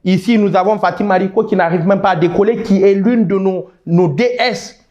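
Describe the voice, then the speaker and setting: A man's voice: high voice, moderate pace, a little mellow, muffled recording. A man in his thirties from Niger, answering interview questions with emphatic, passionate talk, often restarting words. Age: 30s. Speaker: guest.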